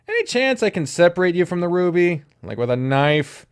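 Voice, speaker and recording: A man voicing a blunt, grumpy young male mercenary in a storybook reading, close to his own lively voice. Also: high-pitched, brisk, clear, resonant tone, pitched above the performer's own voice. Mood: grumbling. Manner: blunt, swears.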